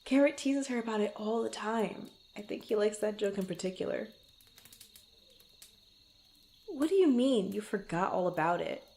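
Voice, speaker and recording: A woman's voice: medium pitch, lively intonation, fairly quick, dark tone, natural voice. A woman playing a guarded but fond young female rogue in an intimate roleplay, bantering playfully and stammering when flustered. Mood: amused teasing. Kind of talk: intimate ASMR roleplay, one-sided conversation.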